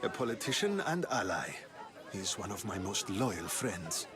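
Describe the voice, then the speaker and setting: A man playing a weary, courteous ancient statesman in a video game, speaking in a wry, measured way. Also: fairly low pitch, very animated, crowd noise. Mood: businesslike. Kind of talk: video game cutscene acting.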